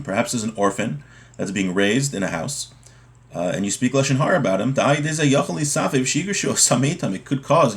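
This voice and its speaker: A man with a fairly low voice teaches in an informal, explanatory way. He works through a religious text phrase by phrase, restating each point in simpler words as a teacher would. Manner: explanatory, repeats and rephrases points. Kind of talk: informal text lesson, reading Hebrew and explaining it in English.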